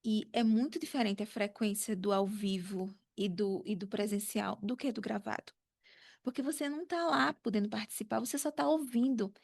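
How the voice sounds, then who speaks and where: A woman's voice: medium pitch, moderate pace, gravelly, darkish tone. A woman with a Brazilian accent hosting a podcast in chatty, conversational talk, often checking in with short tag questions. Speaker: podcast host.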